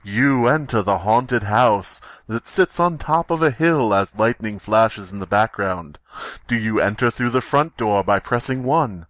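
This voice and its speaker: A theatrical haunted house phone game announcer, acted out by a man. The delivery is slow and scripted, like a recorded menu, with a dry, close sound. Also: lowish voice, lively intonation, a bit bright, dry recording. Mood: ominous announcing.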